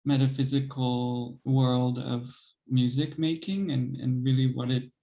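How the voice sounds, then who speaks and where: A young man and composer, speaking thoughtfully in an interview, hesitant with many pauses and soft laughs. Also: mid-range voice, fairly even intonation. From United States. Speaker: composer and pianist guest. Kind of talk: reflective interview conversation.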